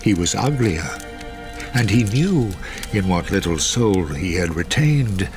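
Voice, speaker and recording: An actor playing the grave storyteller of a dark radio drama, in scripted narration. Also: male voice, deep voice, lively intonation, slow, music in the background, heavily acted. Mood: ominous.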